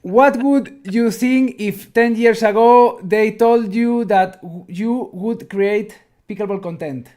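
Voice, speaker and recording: A man with a Spanish accent co-hosting an interview show, asking questions in halting English and repeating them. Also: high-pitched, mellow. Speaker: podcast co-host asking questions.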